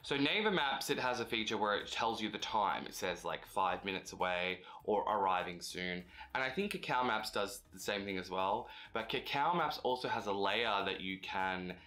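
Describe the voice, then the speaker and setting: A man's voice: fairly low pitch, expressive, moderate pace, few pauses, sharp tone. A young man, a native English speaker, giving casual, chatty advice in a vlog with frequent fillers. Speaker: vlogger.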